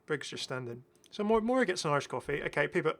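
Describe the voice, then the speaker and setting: A man's voice: on the high side, expressive, fast, creaky, a bit bright. A British man giving casual, enthusiastic, rambling commentary as the host of a gaming video. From Britain. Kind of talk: casual commentary over game footage.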